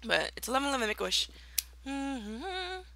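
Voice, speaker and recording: A young woman giving casual commentary over a block-building game, slightly raspy from just waking up, playful and mock-villainous in a theatrical way. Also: high-pitched, sing-song, normal speed, pushed and bright, soft background music. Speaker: Minecraft let's player.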